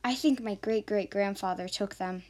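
A woman's voice: mid-range voice, normal speed, a little mellow, voice pushed down, natural voice. A female performer playing a worried mother in an amateur radio drama, anxious about her girls. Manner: calling out, anxious.

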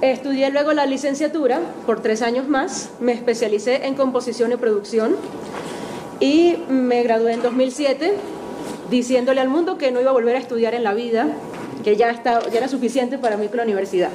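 Venezuelan woman guest speaker, bright-ish higher voice, chatty and self-mocking, noisy hall recording. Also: brisk. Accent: Venezuelan Spanish. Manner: chatty, self-deprecating, with light laughs. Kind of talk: lecture talk to a class. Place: university auditorium.

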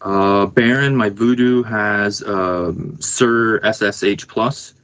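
A man hosting a podcast in casual chat, informal and a little halting as he works through details. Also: lowish voice, steady tone, a bit bright. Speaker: podcast host.

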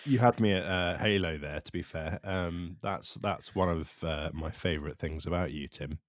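A man's voice: low-pitched, moderate pace, dry recording. A man co-hosting a podcast in casual chat, hesitant and full of ums, with dry humour. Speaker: podcast co-host.

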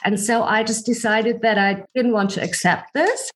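Expressive chatty woman in her forties. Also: a little high, normal speed, a little hiss or hum. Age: 40s. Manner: expressive, chatty, many restarts. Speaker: actress and producer. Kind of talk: interview guest.

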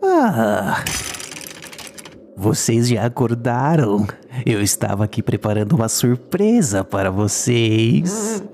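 A sadistic Brazilian clown villain for an audio horror drama. He swoops up and down in a slow, mock-gentle sing-song, taunting as he goes. The recording is clearly noisy. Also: medium pitch, very animated. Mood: mock-sweet menace. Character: sadistic killer clown, mockingly playful male villain.

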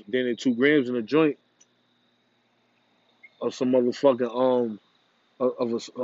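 A man's voice: medium pitch, darkish tone, no room sound, dull audio. A man in a casual, rambling monologue, laid-back and profane, full of slang. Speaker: vlogger. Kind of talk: casual monologue about smoking.

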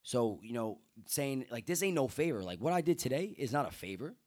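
A young man talking in a casual conversation as a guest, earnest and getting loud and excited as he speaks. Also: mid-range voice, brisk. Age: young adult.

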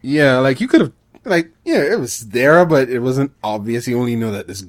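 A man's voice: mid-range voice, lively intonation, normal speed, no room sound. A man chatting casually as a panelist in a podcast discussion. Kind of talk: casual chat.

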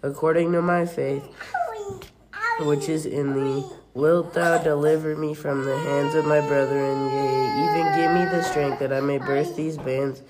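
A young reader reading scripture aloud haltingly, stumbling over words and correcting them. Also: medium pitch, flat delivery. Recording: noisy home recording.

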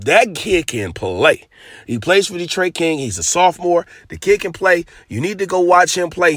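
A man with a cold-roughened, raspy voice hosting a casual basketball podcast, talking loose and hyped with plenty of slang. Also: fairly high pitch, a bit bright, no echo. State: hoarse from a cold. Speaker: podcast host. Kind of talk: casual sports podcast talk.

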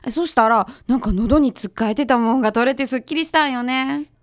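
A confident female detective's assistant with a Kansai accent, played by a woman, pitched high. She talks in a breezy, chatty way, in a conversational radio-play style. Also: fairly quick, close to an everyday voice. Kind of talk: radio drama acting, conversational.

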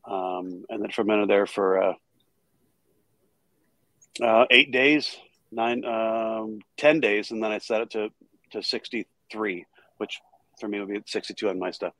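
A male brewmaster guest answering questions casually, pausing often to recall details. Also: on the low side, steady tone, a bit bright, no room sound. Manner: hesitant, lots of uh and um while recalling numbers.

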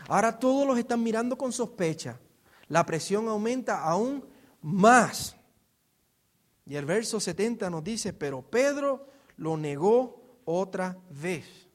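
High-pitched Puerto Rican male preacher. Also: animated, slow. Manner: informal, acts out quotes and asks rhetorical questions. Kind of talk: expository sermon, conversational storytelling.

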